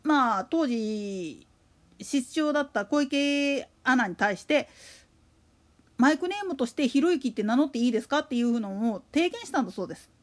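High-pitched, lively, chatty woman. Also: normal speed. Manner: colloquial, slangy, chatty. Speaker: solo podcast host. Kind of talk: casual monologue about a radio announcer.

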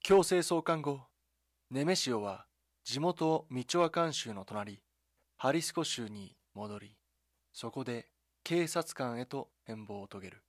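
A man delivering scripted dramatic documentary narration in a measured, solemn storytelling manner, with short deliberate phrases. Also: mid-range voice, animated, no echo, studio quality. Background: background music.

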